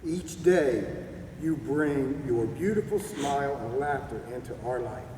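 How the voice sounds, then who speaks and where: A middle-aged man giving a heartfelt, halting retirement speech, clearing his throat as he loses his voice. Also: mid-range voice, lively intonation. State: losing his voice. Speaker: retiring army staff sergeant giving a speech. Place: ceremony venue. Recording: echoey distant hall recording with noise.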